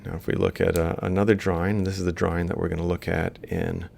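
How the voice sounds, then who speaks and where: A man giving an informal, step-by-step lecture, explaining haltingly as he works through examples. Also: sits low, little pitch movement, creaky, a little mellow, a little hiss or hum. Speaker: university robotics lecturer. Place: screen-recorded lecture voice-over.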